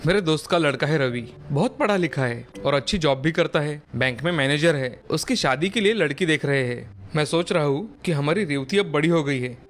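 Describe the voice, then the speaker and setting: A middle-aged family patriarch, acted by a man with a slightly high voice. He is calm and measured, speaking reassuringly in a cartoon story with music behind. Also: lightly acted. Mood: calm, decisive. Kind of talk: animated moral story dialogue.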